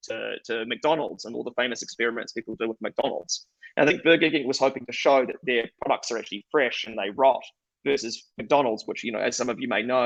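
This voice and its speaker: Fast-talking New Zealand man, gravelly. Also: medium pitch, fairly bright tone, no room sound, low-quality recording. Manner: conversational, self-deprecating, frequent restarts. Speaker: conference speaker. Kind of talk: conference presentation.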